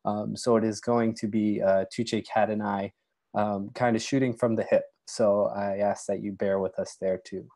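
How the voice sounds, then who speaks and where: Low, mellow young man with a cold. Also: little pitch movement, normal speed. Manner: easygoing, many ums and uhs. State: has a cold, near losing his voice. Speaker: doctoral candidate, webinar moderator. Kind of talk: casual webinar talk. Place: small room at home, indoors. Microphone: earbud headset mic, video call.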